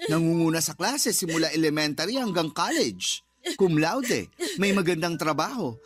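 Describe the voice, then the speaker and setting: An actress playing a sassy, fiercely protective young woman in a radio drama, slangy and emphatic. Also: mid-range voice. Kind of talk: Tagalog radio drama acting. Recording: music bed under voice.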